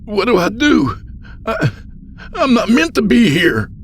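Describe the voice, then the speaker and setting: A man's voice: sits high, sing-song, unhurried, a little hiss or hum, voice pushed up. A man voicing a frightened, lost man in a horror story, pleading and stammering in a strained, raised voice. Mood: panicked. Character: frightened, lost, pleading male newcomer to hell.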